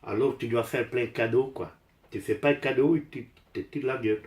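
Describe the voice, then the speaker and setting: A man's voice: medium pitch, steady tone, moderate pace, a little mellow. Older Italian-accented man, roomy echo. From Italy. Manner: blunt, emphatic, repeats phrases. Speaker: amateur DIY vlogger. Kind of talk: casual rambling chat while doing repairs.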